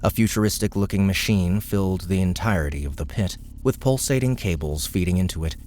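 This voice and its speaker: A man's voice: low-pitched, a little fast, a little mellow, slight background noise. A man narrating scripted fiction as an audiobook narrator.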